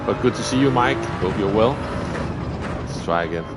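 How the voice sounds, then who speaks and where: A man's voice: lowish voice, normal speed, loud music behind the voice. A man with a Danish accent, live-streaming a racing game and chatting to viewers while he drives. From Denmark. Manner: relaxed, thinking aloud while driving. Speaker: livestreamer. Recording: loud game engine noise behind. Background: racing car engine sounds.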